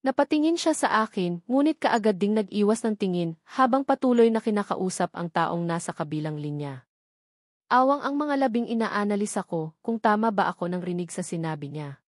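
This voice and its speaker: A woman's voice: medium pitch, animated, normal speed, no room sound. Filipino woman narrating, close dry sound. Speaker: audiobook narrator. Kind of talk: scripted first-person novel narration.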